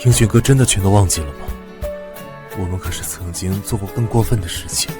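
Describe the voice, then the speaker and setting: An actor playing a cold, possessive young crime heir in an animated drama, speaking with controlled, cool insistence. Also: male voice, sits low, fairly quick, music in the background, natural voice. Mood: possessive, insistent.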